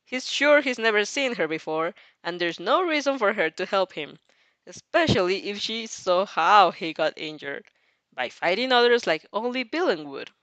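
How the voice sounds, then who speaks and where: A woman's voice: mid-range voice, expressive, normal speed, a bit bright, dry recording, lo-fi sound. A woman reading fan fiction aloud expressively, giggly and reacting as she goes, sometimes stumbling over words.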